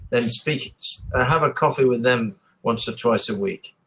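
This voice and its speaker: A man's voice: mid-range voice, normal speed, fairly bright tone. A man with an English accent chatting casually and encouragingly as an online tutor, often repeating and rephrasing his advice over a video call. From England. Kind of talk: casual one-to-one lesson chat.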